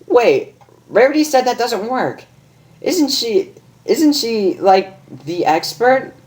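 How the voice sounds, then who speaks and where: A woman plays a brash female cartoon pony in a storybook reading. She is nervous and lovestruck, blurting things out informally. Her voice sits a little high and moves around in lively swings. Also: takes it slowly, poor audio.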